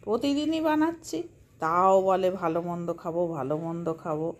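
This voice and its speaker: A woman's voice: fairly low pitch, big pitch swings, a little fast. A woman narrating and voicing a children's doll-play story in a simple, homely way. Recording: faint background noise.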